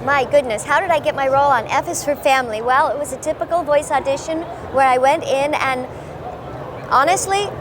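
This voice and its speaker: A woman voice actor chatting in an interview, telling stories in a lively way with playful voice bits. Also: high voice, brisk. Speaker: voice actor guest. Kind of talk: casual interview chat. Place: busy convention. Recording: noisy convention floor.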